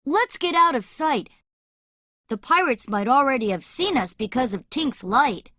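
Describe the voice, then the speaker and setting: A woman voicing a bold, confident boy adventurer who leads other children in an animated children's story, speaking in a commanding, dramatic way. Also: sits high, measured pace, no echo, clean studio sound, heavily acted.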